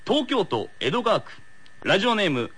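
Young male radio co-host, late twenties: highish voice, swooping intonation, polite playful banter. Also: big pitch swings, normal speed, a bit bright, husky. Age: late 20s. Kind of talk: casual bantering radio chat.

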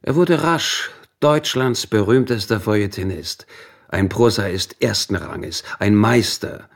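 An actor playing an admiring eulogist in a radio play, with rhetorical, literary phrasing. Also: male voice, on the low side, slow pace, gravelly, full character voice. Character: admiring male eulogist of a famous writer.

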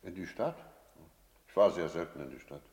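A sly, talkative innkeeper in a radio play. His voice sits low, and he speaks with pointed, deliberate emphasis. He is middle-aged and wry, a storyteller who likes a shrewd deal. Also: lively intonation, darkish tone. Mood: bargaining. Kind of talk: German radio play acting and first-person narration.

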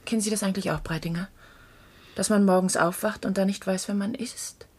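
An actress playing a troubled, worn-down office colleague on a dying Earth in a 1970s German sci-fi radio drama. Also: female voice, mid-range voice, fairly even intonation, brisk, darkish tone, lightly acted. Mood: troubled, weary.

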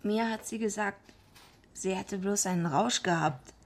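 A woman acting in a radio play as a tough, bitter, streetwise heavyweight wrestler, gruff, blunt and slangy. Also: mid-range voice, a little slow, a little hiss or hum, lightly acted. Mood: bitter, defiant.

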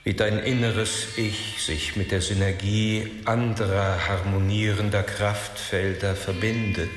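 Preachy unctuous guru for radio drama, deep slow voice, measured and solemn, echoey hall. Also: male voice. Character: unctuous male esoteric self-help guru, placid and preachy. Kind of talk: radio play seminar speech. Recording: echoey hall with music behind.

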